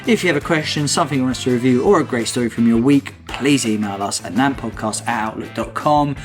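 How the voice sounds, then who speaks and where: A man's voice: mid-range voice, quick pace, faint music behind. A man hosting a casual podcast, reading out trivia with a teasing, jokey manner. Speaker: podcast host.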